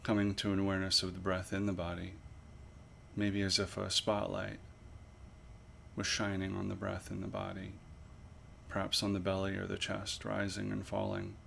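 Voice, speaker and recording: Low, gravelly-edged man guiding meditation. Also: completely flat delivery, slight background noise. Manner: measured, pausing, gently instructive phrasing. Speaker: mindfulness meditation instructor. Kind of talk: guided body scan meditation.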